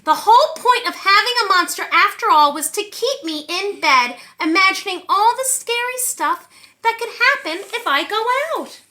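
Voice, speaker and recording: A woman reads a children's story aloud. Her voice is very high and bright. She narrates expressively and breaks off for friendly asides to her young listeners. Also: expressive, moderate pace.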